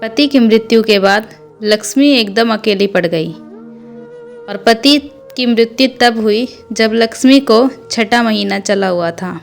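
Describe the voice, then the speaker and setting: A woman narrates a scripted cartoon moral tale in a fairly high voice. Music plays under her storybook delivery. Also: takes it slowly, creaky. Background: background music.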